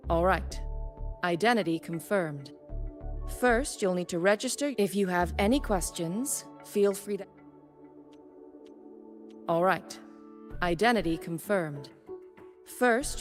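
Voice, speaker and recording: A female academy staff member in an anime-style game. Her mid-range voice is stern and businesslike, with an exasperated, reproving manner. Soft music sits behind her. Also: medium pitch.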